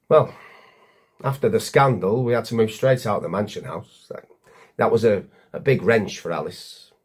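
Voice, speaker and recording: An actor playing a weary, bitter, divorced former Lord Mayor in a wry, confiding comic monologue. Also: male voice, fairly low pitch, darkish tone, breathy edge, heavily acted.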